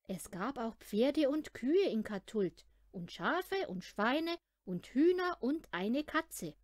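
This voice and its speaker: A woman's voice: high voice, sing-song, takes it slowly, dry recording, studio quality. A woman narrating a children's story from a script, expressively and as if speaking to a young listener. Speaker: audiobook reader.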